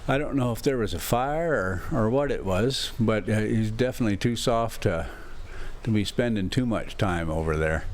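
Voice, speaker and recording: A man hosting a casual travel vlog, rambling in a folksy, halting, thinking-out-loud way as he chats and reads aloud. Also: on the low side. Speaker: RV travel vlogger and host.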